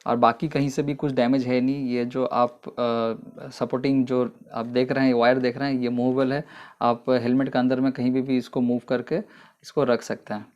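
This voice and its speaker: A man giving a casual, unhurried product review in a vlog, explaining step by step with frequent fillers. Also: medium pitch, level tone, muffled recording.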